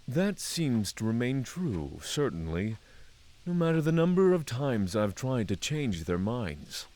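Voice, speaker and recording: A man playing a solemn, courteous dragon lord in a fantasy audio drama, speaking in formal, measured phrases. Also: medium pitch, very animated, dark tone. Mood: reflective. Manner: formal, measured, thoughtful diction.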